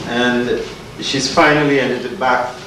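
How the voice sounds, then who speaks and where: A man's voice: medium pitch, fairly even intonation, slow. A man from Guyana, hosting a community lecture in an earnest, emphatic, rhetorical way. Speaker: event host. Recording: echoey hall, distant mic, noisy.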